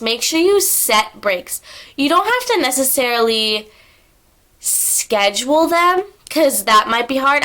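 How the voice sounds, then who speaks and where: A woman's voice: mid-range voice, a bit bright. A young woman vlogger talking casually to camera at home, stuffy-nosed from a cold, chatty and full of fillers. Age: young adult. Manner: chatty, filler-heavy, tangents. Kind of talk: casual sit-down vlog talk. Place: indoors at home.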